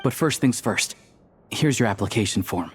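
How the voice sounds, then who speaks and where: A fairly low young man's voice acted by a man for a video game secret agent. He is easygoing and level-headed, explaining in a casual, measured way over faint ambient noise. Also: animated, lightly acted. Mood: placating.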